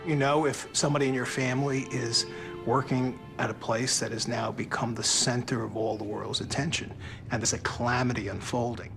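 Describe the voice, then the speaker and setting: A man's voice: medium pitch, steady tone, brisk, over music. A man speaking thoughtfully and explaining in a documentary interview.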